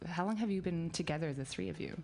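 A woman's voice: on the low side, lively intonation, fast talker, darkish tone. A woman co-hosting a casual radio chat, asking the guests upbeat interview questions. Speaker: radio co-host.

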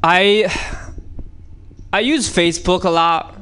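A man's voice: high voice, slow, bright, forceful tone, slight background noise. A man from China with a Chinese accent, improvising comedy bits on given topics in a rambling way, full of fillers and restarts. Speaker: comedian riffing on prompts. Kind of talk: improvised comedy on audience prompts. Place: radio studio.

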